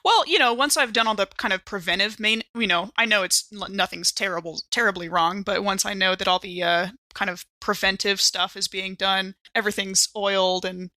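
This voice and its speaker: A woman's voice: medium pitch, steady tone, fairly quick, hardly any pauses, pushed and bright, no room sound. A woman playing a tabletop game, casually improvising details about her character and thinking aloud in loose, conversational phrases.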